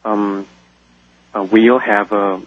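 A man from Taiwan calling into a radio show, talking haltingly and searching for words. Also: on the low side, level tone. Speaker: caller. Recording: muffled dry phone line.

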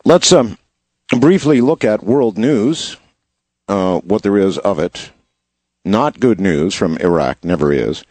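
A slightly hoarse man hosting a show, reading news headlines in a casual style and adding wry asides. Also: on the low side, lively intonation, takes it slowly, a little mellow, no room sound. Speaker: show host.

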